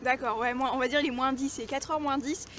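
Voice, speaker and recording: A woman's voice: high voice, fairly even intonation, brisk, fairly bright tone, slight background noise. A woman chatting casually and colloquially for a vlog. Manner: informal, colloquial speech. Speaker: vlogger.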